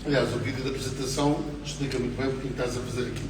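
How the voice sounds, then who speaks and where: Male moderator, relaxed and joking; distant mic, clear background noise. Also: mid-range voice, very fast. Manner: casual, playful, joking with the guests. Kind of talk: public panel conversation. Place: theatre stage. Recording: reverberant theatre hall, distant mic.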